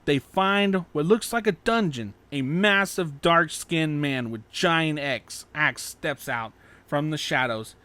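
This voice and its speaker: A man's voice: on the high side, slow pace, sharp tone. A man narrating scripted fan fiction as an audiobook.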